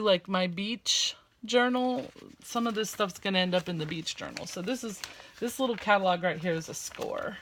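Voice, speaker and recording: A woman with a slight lisp and a loud, carrying voice, chatting casually and rambling a bit while she works on a craft project at home. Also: medium pitch, a little hiss or hum. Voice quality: loud voice that carries. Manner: chatty, rambling, with frequent fillers. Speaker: crafting vlogger.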